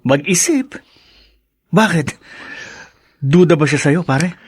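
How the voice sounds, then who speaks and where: A man's voice: fairly high pitch, expressive, slow pace, breathy edge, dry recording, clean studio sound, exaggerated voice. A man acting as a breezy, nosy buddy in a Filipino radio drama, talking in a slangy, blunt way. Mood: skeptical, blunt.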